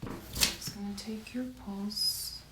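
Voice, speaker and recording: A soft-spoken woman playing a doctor in an ASMR medical roleplay, gently asking questions and giving step-by-step exam instructions. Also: medium pitch, flat delivery, takes it slowly. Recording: roomy echo, clear background hiss.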